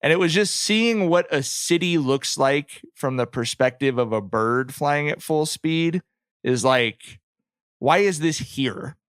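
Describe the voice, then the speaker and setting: A man's voice: on the high side, expressive, measured pace, fairly bright tone, clear, resonant tone, dry recording, clean studio sound. A man hosting a podcast in casual, comedic chat, with wry and profane humour. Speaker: podcast host.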